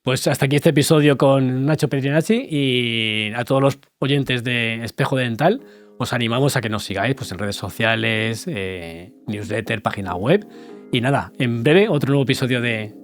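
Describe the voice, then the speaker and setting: A man's voice: mid-range voice, moderate pace, faint music behind. A man hosting a dental podcast, asking short friendly questions and closing the episode. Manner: brief affirmations, friendly questions. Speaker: podcast host. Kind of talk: interview questions and sign-off.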